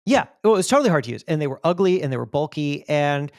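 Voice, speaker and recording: A man's voice: a little high, big pitch swings, fast talker, darkish tone, no room sound, clean studio sound. A middle-aged man telling stories as an interview guest, emphatic and repeating phrases for effect. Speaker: podcast guest, magazine editor and author. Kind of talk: animated storytelling in an interview.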